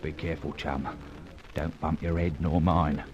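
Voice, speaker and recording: Low-voiced underworld crook. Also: male voice, fairly even intonation, normal speed. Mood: wary, confiding. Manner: slangy, confiding, chuckling. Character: Cockney underworld guide, chummy, wary small-time crook man. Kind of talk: old-time radio detective drama acting. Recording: muffled, hissy old radio recording.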